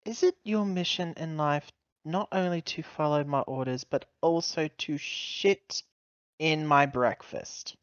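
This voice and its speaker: A woman acts out a sardonic female executive, slow and pointed, scolding with weary exasperation. The game audio is close, dry and a little dull. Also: deep voice, no echo. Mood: sardonic, exasperated.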